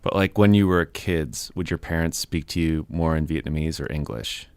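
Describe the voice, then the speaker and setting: A man hosting a music podcast, reading a scripted intro and then asking measured questions. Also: rumbling low, smooth, no room sound. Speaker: podcast host. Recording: music bed under intro.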